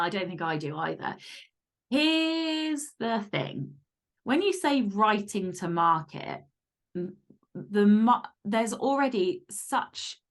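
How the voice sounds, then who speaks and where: Female podcast guest, author type. Lowish register, candid, emphatic delivery in casual conversation. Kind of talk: casual interview chat.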